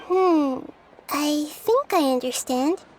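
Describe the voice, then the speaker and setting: A woman voicing a wise, gentle childlike goddess in a video game, speaking softly and thoughtfully. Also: high-pitched, animated, a little hiss or hum. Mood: calm, compassionate. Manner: soft-spoken, thoughtful, measured explanations.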